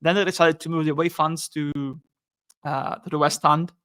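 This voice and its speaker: Flat-toned man hosting a podcast. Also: a little high. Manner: halting, many restarts, upbeat. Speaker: podcast host. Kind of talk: casual football podcast chat.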